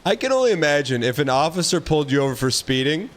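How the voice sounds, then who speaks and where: A man's voice: a little high, sing-song, quick pace, a little hiss or hum. A man hosting a stream, asking curious questions and teasing his guest in a casual chat. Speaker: stream host.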